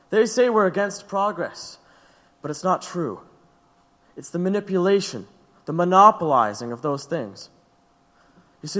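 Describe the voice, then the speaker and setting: An actor playing a defiant, impassioned male anarchist defendant, giving an emphatic, rhetorical courtroom speech in an audio drama. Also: a little high. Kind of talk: theatrical courtroom oratory. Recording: long hall-like reverb.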